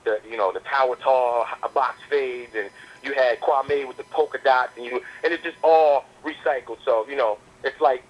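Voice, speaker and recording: A man's voice: mid-range voice, normal speed. A man from the southern United States, a rapper, talking casually and slangily as a phone interview guest, often repeating words as he builds a point. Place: on a landline phone call. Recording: noisy landline phone call.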